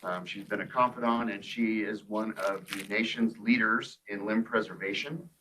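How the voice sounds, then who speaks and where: Low bright-voiced man introducing a speaker. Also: steady tone, moderate pace, a little hiss or hum, rough recording. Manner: warm, hesitant, with fillers and restarts. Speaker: surgeon introducing the lecturer. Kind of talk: formal introduction. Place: lecture hall.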